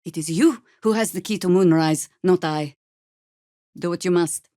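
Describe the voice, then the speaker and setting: An actress playing a seasoned, middle-aged druid woman in a video game, a wry and stern resistance fighter who speaks with measured, commanding dryness. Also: fairly low pitch, dark tone, dry recording, clean studio sound, lightly acted. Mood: earnest, resolute. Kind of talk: video game character dialogue, dramatic acting.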